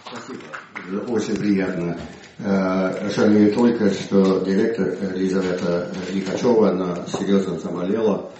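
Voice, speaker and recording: An older man, an English speaker, presenting his book in Russian with a halting manner, recovering from a cold. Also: low voice, fairly even intonation, background noise. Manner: halting, many hesitations and restarts. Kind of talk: informal presentation speech. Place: museum hall. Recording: echoey hall, from far off.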